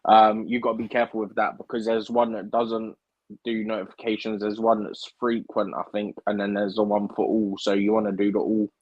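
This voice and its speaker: A man co-hosting a casual football stream, relaxed and explaining things plainly. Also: fairly low pitch, fairly quick, no room sound, muffled recording. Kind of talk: casual live-stream chat.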